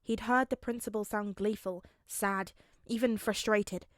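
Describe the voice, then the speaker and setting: A woman's voice: mid-range voice, steady tone, unhurried, no echo, clean studio sound. A woman reading scripted story narration in the style of an audiobook. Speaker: fan fiction narrator.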